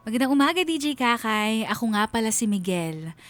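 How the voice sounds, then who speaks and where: A woman's voice: on the high side, sing-song, a little fast. Filipino woman narrating a radio story over music. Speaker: radio drama narrator reading a listener's story. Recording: music bed under the voice. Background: background music.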